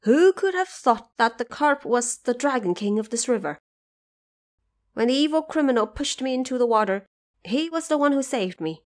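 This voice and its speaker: A woman narrator voicing a gentle, grateful scholar husband in an audio drama, speaking earnestly and formally. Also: sits high, lively intonation, normal speed.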